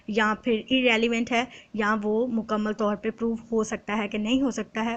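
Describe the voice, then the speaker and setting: High-pitched woman lecturing. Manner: didactic, poses questions then answers them, slowly reads out English quotations. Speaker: law lecturer. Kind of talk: explanatory exam-prep lecture.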